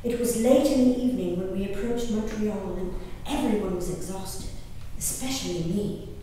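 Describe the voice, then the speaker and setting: A woman performing a genteel, impassioned colonial-era lady diarist on stage, declaiming her journal with deliberate dramatic pauses. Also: medium pitch, warm tone, lightly acted. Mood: hushed, reflective. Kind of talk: theatrical dramatic reading of historical diaries. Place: live stage performance in a reverberant hall. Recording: very echoey hall from far off, noisy.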